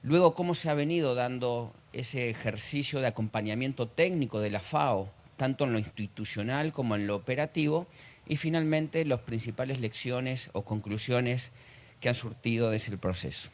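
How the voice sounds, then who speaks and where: A middle-aged man with an Argentine accent, giving a formal conference talk, measured and filled with pauses and hesitations. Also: medium pitch. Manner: measured, with frequent "eh" and "digamos" fillers. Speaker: conference speaker.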